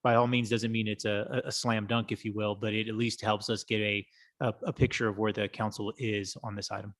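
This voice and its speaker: A city staff official speaking in a hedging, bureaucratic way at a council meeting in a council chamber, full of fillers. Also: male voice, lowish voice, little pitch movement, a little fast, fairly bright tone. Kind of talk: measured bureaucratic remarks.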